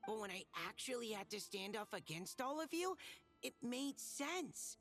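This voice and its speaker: A woman's voice: a little high, animated, moderate pace, fairly bright tone, faint music behind. A woman voicing a nervous, earnest young male soldier in a video game, hesitant and prone to stammering. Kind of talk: video game character acting.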